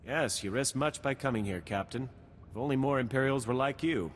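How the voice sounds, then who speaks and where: Guy as decisive game lieutenant giving briefings, mid pitch, echoing hall, faint noise. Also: a little fast, lightly acted. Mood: satisfied, measured. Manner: businesslike, briefing tone. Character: practical, decisive male Republic army lieutenant. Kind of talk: video game voice acting.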